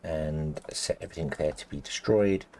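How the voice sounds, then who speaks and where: A man commentating his gameplay casually, rambling with lots of ums and restarts, and a little croaky as he loses his voice. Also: a bass voice, moderate pace, warm tone. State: slightly croaky, losing his voice. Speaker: let's play host.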